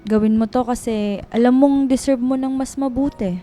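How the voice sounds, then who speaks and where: High, mellow-voiced loyal woman friend acted. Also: fairly even intonation, normal speed, soft background music, natural voice. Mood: gentle concern. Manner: earnest, concerned. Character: loyal, protective, caring office friend, female. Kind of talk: radio drama acting.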